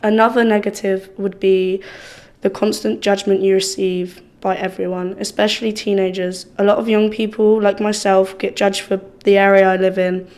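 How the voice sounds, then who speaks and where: Brief: 20-year-old woman, even monotone, blunt and plain-spoken. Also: medium pitch, moderate pace. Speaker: young film participant. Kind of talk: personal testimony for a short film.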